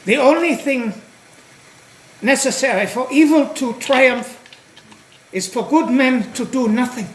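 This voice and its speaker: Man acting a slow, gentle German prisoner. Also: very high-pitched. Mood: solemn, courteous. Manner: polite, halting, soft-hearted. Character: gentle, courteous, hesitant German male prisoner. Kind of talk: stage play acting. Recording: echoey stage recording, clear background noise.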